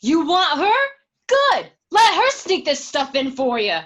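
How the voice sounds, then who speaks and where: A high-pitched female voice , an actress in character as the wife of a jailed gangster. She is emotional and accusing, a wounded and angry woman in a crime radio drama. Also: very animated, slow pace, fairly bright tone, heavily acted. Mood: furious. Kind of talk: radio drama acting of a film script.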